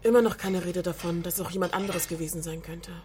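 A woman's voice: on the low side, fairly even intonation, fast talker, background noise, natural voice. An actress playing a pragmatic woman helping a fugitive friend, in naturalistic radio drama dialogue. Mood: matter-of-fact.

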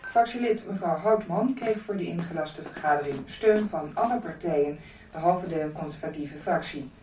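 Woman acting a radio news reader, low voice, formal, echoey and bit far off, clattering noise. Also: normal speed, dark tone, close to an everyday voice. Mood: neutral, official. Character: sober female local radio newsreader. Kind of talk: formal scripted news bulletin.

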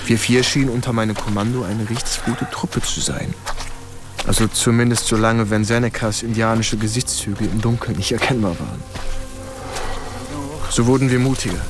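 A man's voice: on the low side, moderate pace, natural voice. A man acting as a young, dutiful, uneasy US army lieutenant who narrates a war radio play in German. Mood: measured recollection. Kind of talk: radio drama narration and dialogue. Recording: music under the voice. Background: dramatic music.

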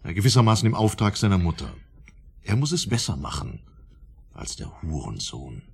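Husky, pompous professor. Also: male voice, low-pitched, sing-song, normal speed, full character voice. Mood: insistent, needling. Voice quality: husky, gravelly. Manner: pompous, lecturing, insistent. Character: smug, probing male professor of psychology, theorizing about everyone. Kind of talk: German radio drama acting.

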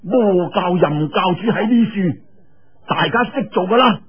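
A man voicing a commanding, grudging masked villain leader in a martial arts audiobook, speaking in measured orders. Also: high-pitched, animated, husky, voice pushed up, heavily acted. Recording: dull, lo-fi radio-like audio.

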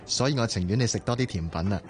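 A man's voice: on the low side, quick pace, soft background music, close to an everyday voice. A man acting a caring, earnest businessman in radio drama dialogue, with a Hong Kong accent. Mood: concerned, gently chiding. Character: caring, earnest businessman, a close male friend.

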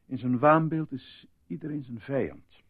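An actor playing a solemn, formal hospital doctor with a husky, gravelly voice in a Dutch radio play. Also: male voice, mid-range voice, dry recording. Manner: measured, formal. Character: solemn, controlled hospital doctor, coolly reassuring. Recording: thin, phone-like, dry old radio recording.

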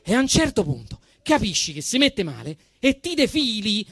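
Animated, high-pitched Roman man performing comic monologue. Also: normal speed, slightly rough, no room sound, studio quality. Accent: Roman Italian. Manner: indignant, emphatic, mock-solemn delivery with dramatic swings. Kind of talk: theatrical satirical monologue, storytelling.

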